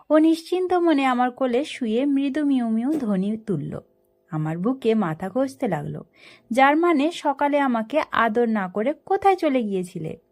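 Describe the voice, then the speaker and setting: High-pitched woman narrating a story, very lively. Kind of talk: dramatic first-person story narration.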